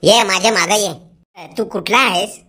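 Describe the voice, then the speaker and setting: A woman's voice: medium pitch, normal speed. A woman voicing a bossy, matter-of-fact young female worker in an amateur comedy cartoon, with plain colloquial talk. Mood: curious. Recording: loud music under the voice.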